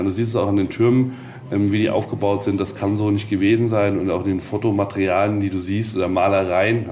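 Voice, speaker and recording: A man giving an informal guided tour, explaining step by step in halting phrases on location in an old castle. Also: sits low, a little mellow. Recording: faint ambient noise on location.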